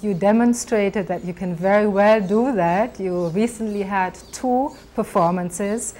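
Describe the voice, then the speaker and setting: A woman interviewer putting prepared questions to a guest in a measured, formal way. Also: mid-range voice, over music. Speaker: interviewer, TV host.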